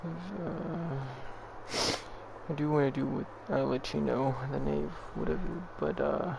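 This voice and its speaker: A young man giving casual gameplay commentary in a halting, mumbly, self-deprecating way. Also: fairly high pitch, steady tone, vocal fry, lo-fi sound, noisy background. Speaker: game streamer. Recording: game music behind voice.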